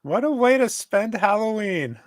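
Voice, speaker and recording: Man plays hopeful cartoon little boy, wide-eyed and gullible, high voice, unhurried pace. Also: big pitch swings, dry recording. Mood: earnest, hopeful. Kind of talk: live comedic table read of a cartoon.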